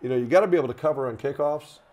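An older man in a conversational, halting studio sports discussion, often repeating words as he makes his point. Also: mid-range voice, darkish tone, lo-fi sound. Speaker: radio play-by-play commentator.

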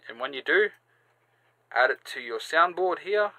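A man's voice: on the high side, expressive, moderate pace, sharp tone, dry recording. Man narrating a tutorial, dry close sound. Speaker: tutorial host. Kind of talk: step-by-step software tutorial narration. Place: indoors, screen-recording voice-over.

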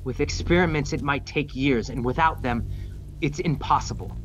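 A man's voice: mid-range voice, moderate pace, highs cut off, soft background music, natural voice. A man playing a grave, hopeless scientist under siege in a radio drama.

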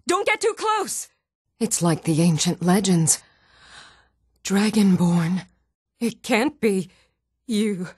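An actress playing an earnest, even-tempered townswoman in a scripted fantasy video game. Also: on the high side, breathy edge, no room sound, studio quality, full character voice. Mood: alarmed, then awed, uneasy.

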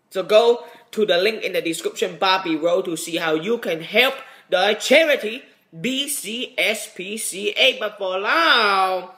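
A man's voice: high-pitched, pushed and bright. A young man vlogging to a handheld camera, talking in an exaggerated put-on comic accent with a brash, joking manner. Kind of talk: comedic vlog talk to camera. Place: indoors, small room then convention hall. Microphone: phone or webcam at arm's length.

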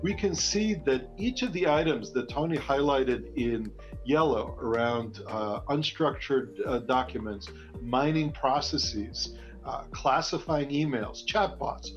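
A man's voice: medium pitch, a little slow, muffled recording, faint music behind. An older man giving a conference presentation as a researcher over a video call from an office. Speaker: researcher, keynote speaker.

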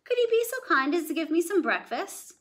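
Woman voicing a cheerful, sharp young boy for a storybook read-aloud; high and bouncy. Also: big pitch swings, brisk, exaggerated voice. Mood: eager.

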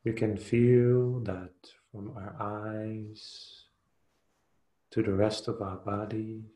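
A man leading a guided meditation as a teacher, speaking soothingly in short phrases with long pauses. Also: lowish voice, warm tone, roomy sound.

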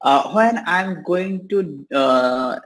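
Hesitant young man, slow. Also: fairly high pitch, expressive, a bit bright. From Bangladesh. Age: young adult. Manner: hesitant, many fillers. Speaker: host practicing English. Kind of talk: English practice conversation. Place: small room indoors.

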